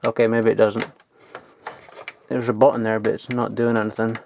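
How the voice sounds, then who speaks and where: A man's voice: fairly low pitch, level tone, moderate pace, creaky, darkish tone, slight background noise. A young man giving a casual, sweary, enthusiastic show-and-tell in an informal vlogging style. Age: young adult. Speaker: vlogger showing old toys. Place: loft at home, indoors.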